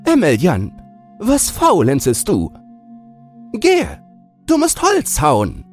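Shrill, nagging village woman. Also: wildly swooping pitch, slow pace, soft background music, full character voice. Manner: scolding, threatening, insistent. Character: nagging, scolding village sisters-in-law, shrill women. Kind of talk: fairy-tale audiobook character voice.

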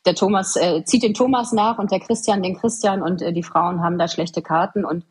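A woman speaks in a fairly low register with a very husky, breathy edge. She answers as an interview guest, explaining things earnestly and articulately, leaning on her key words with emphasis. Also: steady tone, fairly quick. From eastern Germany. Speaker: podcast guest, government official.

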